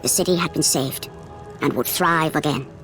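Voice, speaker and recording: An actress as a grave storyteller, narrating an ancient myth in a video game with measured, solemn drama. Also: female voice, deep voice, over music, lightly acted.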